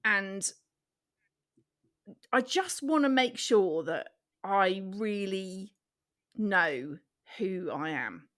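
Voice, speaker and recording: A woman's voice: mid-range voice, animated, a bit bright. Reflective woman in her forties. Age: 40s. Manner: reflective, halting, restarts sentences. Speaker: podcast co-host. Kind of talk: casual chat.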